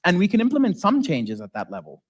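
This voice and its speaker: A man who is a native Arabic speaker giving an informal conference talk as a software developer, with joking asides and check-ins to the audience. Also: high voice, huge pitch swings, fast talker. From lives in South Africa.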